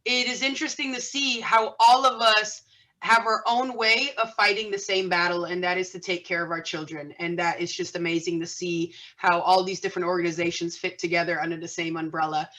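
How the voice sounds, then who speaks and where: A woman's voice: lowish voice, fairly even intonation, brisk, pushed and bright. A woman speaking as a nonprofit board president, presenting at an online event over a video call.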